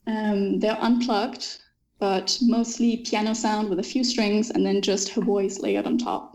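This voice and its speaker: A young Danish woman speaks in English as an author being interviewed, with a middle-pitched voice. She thinks aloud, pausing with small ums, and laughs easily between thoughts. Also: steady tone, darkish tone, poor audio. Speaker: author guest. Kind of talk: interview conversation.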